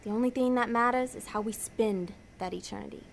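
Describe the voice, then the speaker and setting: A woman's voice: a little high, fairly quick, vocal fry, slight background noise. A woman playing a cynical, hard-nosed demon schemer in an audio drama, blunt and insistent in everyday ungrammatical speech.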